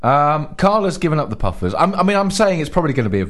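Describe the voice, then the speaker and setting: A man's voice: a little high, sing-song, quick pace, fairly bright tone. A man co-hosting a comedy podcast, telling rambling stories and breaking into giggles. His voice swings widely up and down as he talks. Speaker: podcast co-host. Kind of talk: casual comedic chat.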